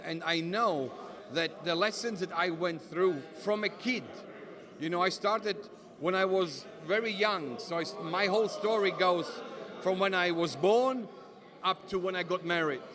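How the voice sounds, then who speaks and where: A middle-aged man with an Italian accent speaks as a pastor and author, steady and affirming. His voice sits fairly high. A crowd murmurs clearly behind him. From Italy. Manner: steady and affirming, frequent short agreements. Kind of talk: interview guest, earnest testimony.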